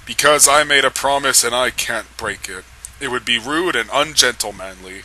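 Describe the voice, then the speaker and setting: Man playing a melodramatic, courtly young vampire, bright-voiced. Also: mid-range voice, normal speed, a little hiss or hum, rough recording. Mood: tormented, regretful. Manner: archaic, overwrought courtly phrasing. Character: lovestruck, gallant young male vampire, melodramatic and tormented.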